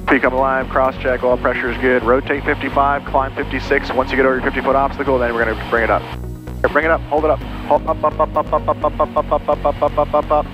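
A man's voice: mid-range voice, flat delivery, quick pace, highs cut off. Male flight instructor on cockpit intercom, music behind. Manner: directive, repeats commands, encouraging. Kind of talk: hands-on cockpit instruction with short commands.

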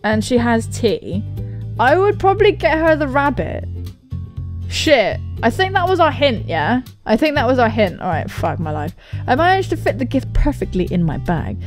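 Young brit girl doing a lets play, super animated and sweary, high voice, bit of music behind. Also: normal speed. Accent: British. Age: young adult. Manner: excitable, sweary, exclaiming. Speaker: gaming video host. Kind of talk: playthrough commentary mixed with reading aloud. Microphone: close studio mic.

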